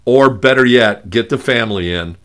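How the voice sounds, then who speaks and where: A man with a gravelly voice, hosting a talk show and speaking in a halting way with many fillers and restarts. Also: medium pitch, fairly bright tone. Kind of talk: conversational radio talk.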